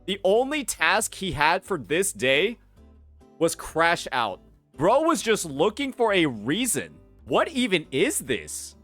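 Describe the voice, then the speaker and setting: A man's voice: high-pitched, huge pitch swings, takes it slowly, a bit bright, faint music behind. A young man doing reaction commentary in an incredulous, mocking tone. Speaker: commentary youtuber.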